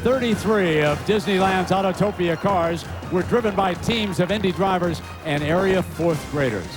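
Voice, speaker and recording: Casting note: male race broadcaster, bright high voice, measured narration over music.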